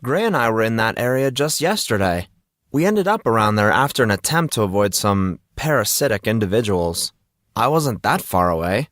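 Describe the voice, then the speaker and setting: A man's voice: on the low side, big pitch swings, moderate pace, a bit bright, dry recording, clean studio sound. A man voicing a confident, inquisitive teenage schoolboy with a slangy manner in an audiobook drama. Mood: puzzled. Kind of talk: audiobook character dialogue.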